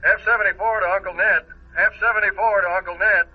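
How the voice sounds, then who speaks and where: A man plays a pilot calling in to a control tower in a 1950s radio serial style. The voice is high and clipped, repeating each call. The sound is very narrow and phone-like, with faint noise. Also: steady tone, quick pace, natural voice. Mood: urgent. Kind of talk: 1950s radio show acting. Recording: noisy, muffled old radio broadcast recording.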